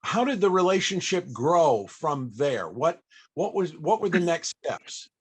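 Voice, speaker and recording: Higher-voiced male interviewer, roundabout questioner. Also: big pitch swings, unhurried, husky. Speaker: interviewer, panel host. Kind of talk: conversational interviewing. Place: video call.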